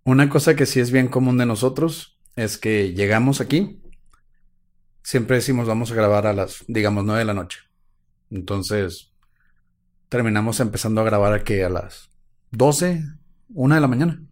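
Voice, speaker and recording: A man's voice: fairly low pitch, normal speed, pauses often, a little mellow. A man co-hosting a live stream, cracking wry jokes and narrating a true-crime case. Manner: wry, jokey asides, slightly dramatic in the telling. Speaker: podcast co-host.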